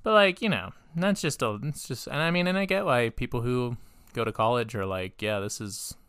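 Rambling podcast co-host with smooth voice. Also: female voice, rumbling low, normal speed, fairly bright tone, dry recording, studio quality. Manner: rambling, lots of "like" and laughs. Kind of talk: casual chat.

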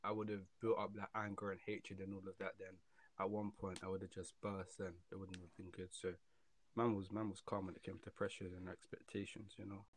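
A man's voice: low voice, flat delivery, a little fast, low-quality recording. An eighteen-year-old man with a London accent, chatting casually in a slangy, laid-back way as a guest. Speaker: podcast guest, student. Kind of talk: casual chat.

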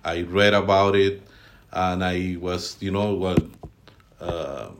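A middle-aged man from Colombia with a Colombian Spanish accent, a podcast guest telling his story in halting speech with many fillers and restarts. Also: low-pitched, steady tone, noisy background. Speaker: guest, team leader. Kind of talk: podcast interview.

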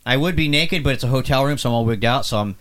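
A man's voice: medium pitch, fast. A man co-hosting a podcast, telling rambling, profane stories in casual banter. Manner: animated, swearing, rambling storyteller. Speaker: podcast co-host.

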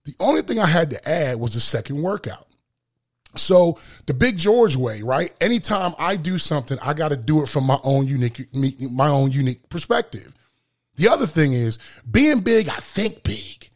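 A man hosting a solo motivational podcast with a booming voice, speaking emphatically and repeating phrases for effect. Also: very animated, normal speed. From lives in Florida. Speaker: podcast host.

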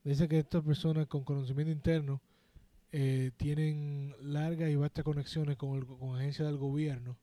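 Slightly high-voiced Dominican man, slangy and mellow. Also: flat delivery, moderate pace, no room sound. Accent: Dominican Spanish. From Dominican Republic. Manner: slangy, joking, swears casually. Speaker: podcast co-host. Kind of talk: casual chat.

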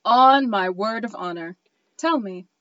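A woman's voice: medium pitch, expressive, unhurried, a bit bright, no echo, lo-fi sound. A woman playing an earnest, devoted man in a cold reading of a romantic period comedy.

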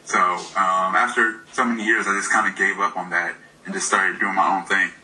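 Deep-voiced man losing his voice a bit. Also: level tone, normal speed, sharp tone, low-quality recording. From Michigan, US. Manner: hesitant, many fillers, laughs often. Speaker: indie game developer guest. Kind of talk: casual interview conversation.

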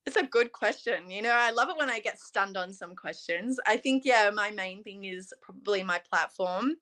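A woman's voice: mid-range voice, normal speed, bright, forceful tone. Chatty young woman from Australia. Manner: chatty, earnest, lots of fillers like "you know" and "um". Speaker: interview guest, pageant titleholder. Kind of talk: casual interview chat.

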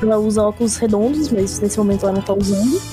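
A woman's voice: medium pitch, rapid-fire, music in the background. Sex: woman. Role: chatty tabletop player. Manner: loose, filler-heavy talk. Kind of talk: casual in-game description.

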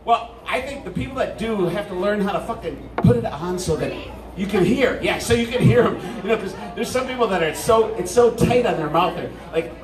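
A man's voice: high-pitched, lively intonation, fairly quick, few pauses, noisy background. A middle-aged man with a Wisconsin accent doing stand-up, full of swearing and self-mocking jokes. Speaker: comedian. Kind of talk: stand-up comedy bits. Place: large hall. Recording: roomy hall, distant mic, loud crowd.

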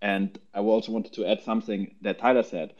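A man explaining things casually as a panel guest, haltingly and often repeating words, over lo-fi voice chat audio. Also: fairly low pitch, fairly even intonation, darkish tone.

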